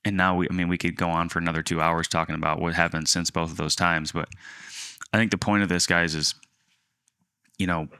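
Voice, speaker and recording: Guy around thirty chatting on a podcast, low bright clear voice, rambles and restarts. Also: flat delivery, fairly quick, dry recording, clean studio sound. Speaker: podcast co-host, land agent. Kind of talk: casual storytelling chat.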